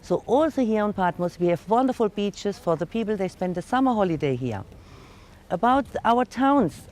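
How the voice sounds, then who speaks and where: A woman's voice: medium pitch, a little mellow. German-accented woman guiding a tour outdoors. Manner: friendly explaining, non-native grammar. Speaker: tour guide. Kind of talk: guided tour talk. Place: outdoors on a hilltop.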